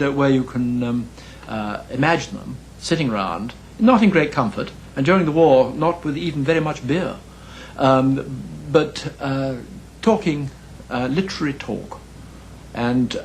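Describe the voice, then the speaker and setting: An older man reminiscing in a pub interview, speaking in a halting, hesitant way. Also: medium pitch, rough recording. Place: inside a pub. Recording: clear background noise.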